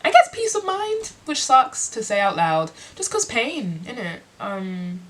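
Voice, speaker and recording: A young woman talks openly in a personal vlog. Her voice is sniffly and congested from a cold. She is reflective and unhurried, leaning on frequent "like" fillers as she gathers her thoughts. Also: a little high, big pitch swings. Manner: reflective, heavy use of "like" fillers. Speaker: musician and performer.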